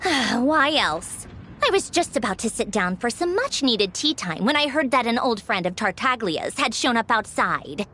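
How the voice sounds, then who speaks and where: A woman's voice: on the high side, big pitch swings, moderate pace, sharp tone, slight background noise. An actress voicing a poised, sardonic female Fatui Harbinger in a video game, cool and composed, with faint music behind. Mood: dry, sardonic.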